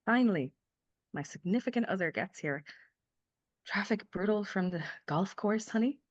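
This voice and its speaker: A woman playing a sardonic, anguished mother in a dramatic table read, emphatic and halting when upset, on dull lo-fi audio. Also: medium pitch, expressive, close to an everyday voice. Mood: wry. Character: Lily, sardonic young mother, anguished, fiercely emotional. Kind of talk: live screenplay table read, dramatic acting.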